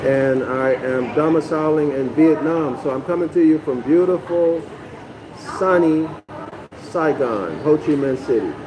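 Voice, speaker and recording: A man's voice: fairly high pitch, a little slow. Man lecturing over a noisy background. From North America. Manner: keeps checking in with listeners, "okay?" and "y'all"; repeats and restarts phrases while explaining. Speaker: lecturer reading a text to a live audience. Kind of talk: live-streamed lecture, reading aloud and commenting.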